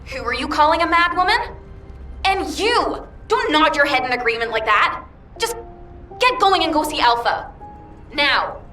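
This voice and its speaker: Excitable, high-voiced young woman musician. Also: normal speed, pauses often, soft background music. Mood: indignant. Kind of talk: video game character acting.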